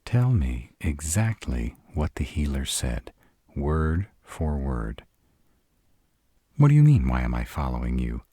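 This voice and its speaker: A man's voice: rumbling low, very animated, takes it slowly, dark tone, husky, no room sound, full character voice. A man playing a sarcastic, irritable vampire in an intimate roleplay audio drama, grumbling and dramatic in his delivery. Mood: indignant, alarmed. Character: sarcastic irritable male vampire, stranded in a human's home.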